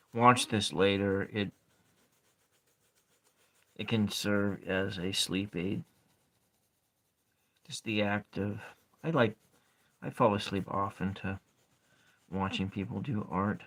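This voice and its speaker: A man with a calm voice chatting softly with viewers while he draws and colors tarot cards, trailing off and restarting as he works. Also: slow, pauses often. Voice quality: calm speaking voice. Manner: quiet, low-key chatting with viewers. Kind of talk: soft-spoken ASMR art livestream chat.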